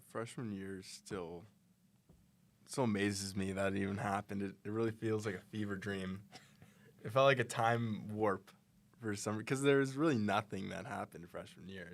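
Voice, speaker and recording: A young man, a college student, answering interview questions in an earnest, steady way with some fillers. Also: lowish voice, moderate pace. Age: young adult.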